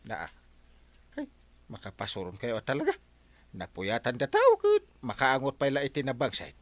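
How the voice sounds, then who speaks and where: A man's voice: medium pitch, wildly swooping pitch, moderate pace, dry recording. Wildly swooping, irritable Ilocano husband, acted by a man. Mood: irritated. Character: irritable, short-tempered married man of the household. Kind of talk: Ilocano radio drama acting.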